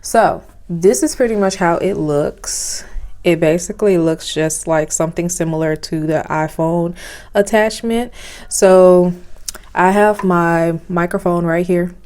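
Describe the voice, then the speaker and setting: A soft-spoken young woman, chatting casually with lots of fillers as she reviews cameras at home, recorded on a phone with a clip-on mic. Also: lowish voice, unhurried. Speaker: vlogger. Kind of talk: casual product review vlog. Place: home, indoors. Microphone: phone with clip-on lapel mic.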